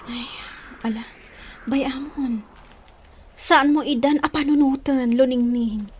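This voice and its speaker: Caring, excitable Ilocano village friend, high-pitched, radio drama. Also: female voice, slow pace, husky, heavily acted.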